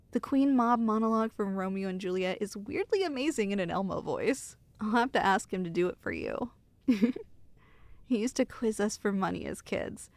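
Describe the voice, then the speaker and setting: A woman's voice: mid-range voice, a little mellow, no room sound, lightly acted. A young woman playing an affectionate, chatty girlfriend who reassures her partner in an intimate ASMR roleplay. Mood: fond, amused. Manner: playful, reassuring, storytelling to one listener. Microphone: close studio mic.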